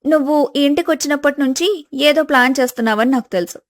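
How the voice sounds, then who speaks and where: A woman's voice: high-pitched, quick pace, dry recording, studio quality, natural voice. A woman voicing a haughty, suspicious elder daughter-in-law with an accusing, sarcastic manner in a village family story.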